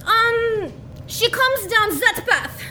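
A bratty little girl, acted by a woman, snaps and giggles through her schemes in a comedic audio drama. Her voice sits very high with a bright, cutting edge, and faint noise hums behind it. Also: animated, slow pace, full character voice. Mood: bickering. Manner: snappy, bickering, giggly.

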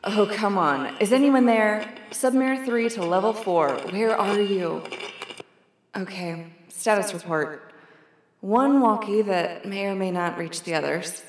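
A woman playing a capable, exasperated team leader in an audio drama, with brisk, sardonic, natural delivery. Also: medium pitch, echoey room, big-room sound, noisy background, close to an everyday voice. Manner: brisk, sardonic, muttering to herself.

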